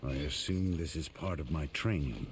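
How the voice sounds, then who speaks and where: An actor playing a stern, battle-minded knight commander in a fantasy game, speaking in a terse, gruff, businesslike way. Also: male voice, extremely low pitch, moderate pace, very noisy.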